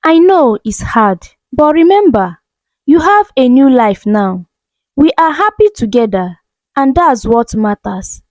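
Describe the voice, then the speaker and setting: A woman voicing a protective, devoted boyfriend in a story, with a measured, reassuring manner. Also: sits high, very animated, no echo. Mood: gentle.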